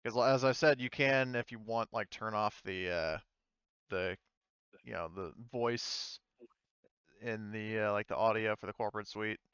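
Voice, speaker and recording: A man with a smooth, lowish voice runs a tabletop roleplaying game. He talks informally and haltingly, thinking aloud. The sound is dry and slightly dull. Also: a bit bright, no room sound. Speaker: game master. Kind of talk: casual tabletop game narration.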